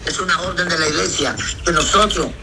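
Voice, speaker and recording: A man's voice: on the high side, fairly quick, vocal fry, background noise. A man, a pastor answering interview questions emphatically and often asking if he's understood. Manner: emphatic, repeats "me entiende".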